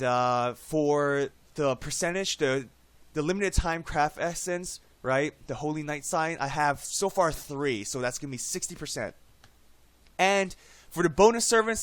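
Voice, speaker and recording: A man recovering from a cold, his voice slightly hoarse, chatting casually through a screen-recorded game tutorial and tagging "right?" onto his sentences. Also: fairly high pitch, fairly bright tone, no room sound. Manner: chatty, frequent "right?" tag questions. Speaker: game guide YouTuber.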